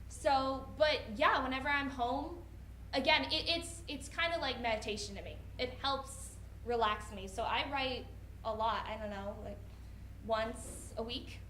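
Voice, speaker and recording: Higher-voiced young woman in an echoey room. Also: measured pace, fairly bright tone. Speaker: student writer answering audience questions. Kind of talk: unscripted question-and-answer talk after a reading. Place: indoor room at a college event. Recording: roomy echo, faint background noise.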